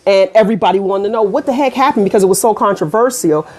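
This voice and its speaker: A middle-aged American woman hosting a casual online show, chatting to viewers in an animated, colloquial way with frequent asides. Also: mid-range voice, fast. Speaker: online radio show host. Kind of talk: casual livestream talk to viewers.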